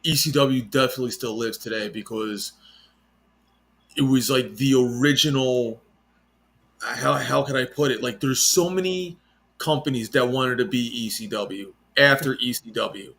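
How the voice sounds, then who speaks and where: A man's voice: medium pitch, moderate pace, creaky, a bit bright. A man in his late thirties chatting casually, laid-back and rambling with lots of fillers. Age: 30s. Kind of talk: casual chat.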